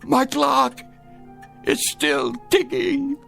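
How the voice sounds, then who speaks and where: A man's voice: very high-pitched, very slow, gravelly, bright, forceful tone, soft background music, full character voice. A man playing a frail, anxious old grandfather in a radio drama, hesitant and stammering in a fussy way. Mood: shaken, relieved. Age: older. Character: frail, anxious elderly grandfather obsessed with his clock.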